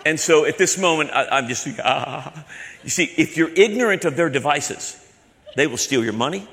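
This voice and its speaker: A man's voice: on the high side, lively intonation, moderate pace, creaky, fairly bright tone. A male pastor from the American South preaching a sermon with repeated, building phrases. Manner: preaching with repetition and building emphasis. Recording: echoey hall with long reverb.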